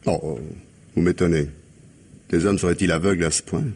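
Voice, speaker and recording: A man's voice: low voice, fairly even intonation, fairly quick, a little mellow, breathy edge. A man playing an ironic, insinuating police inspector who questions with needling gallantry in a radio mystery drama. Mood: probing. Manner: sardonic, insinuating questioning with polite irony.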